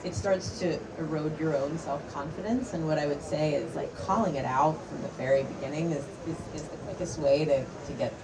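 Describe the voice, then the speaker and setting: Woman hosting a panel, a bit low voice, kinda far from the mic. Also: moderate pace, few pauses. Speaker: panel moderator. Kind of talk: panel discussion. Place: conference stage before an audience. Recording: distant mic, noisy event.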